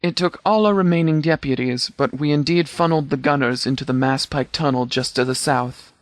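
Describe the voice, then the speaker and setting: A stern sheriff of a post-apocalyptic militia, played by a male actor in scripted game dialogue. He speaks formally and with measured authority, his voice pitched a little high for a man. The sound is dry and close, with little room around it. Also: no echo, lightly acted. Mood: sober briefing. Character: stern, commanding sheriff leading a wasteland militia.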